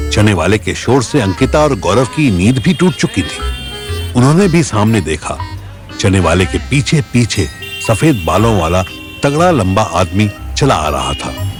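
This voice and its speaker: A man narrating a scripted audio story as its storyteller. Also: fairly low pitch. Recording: music bed behind voice.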